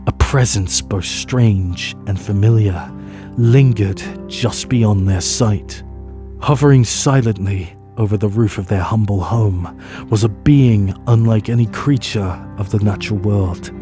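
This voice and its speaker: A man narrating a scripted fantasy tale as storyteller, measured and solemn, with dramatic pauses. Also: fairly low pitch, warm tone. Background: music.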